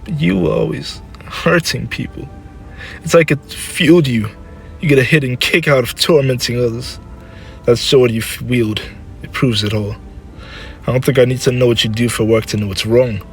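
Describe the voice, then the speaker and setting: A man's voice: mid-range voice, moderate pace, vocal fry, natural voice. Guy doing a scornful upset old mother from an audio story, emotional and scolding, music underneath. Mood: bitter reproach. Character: anguished, scolding, sickly elderly mother of a warrior.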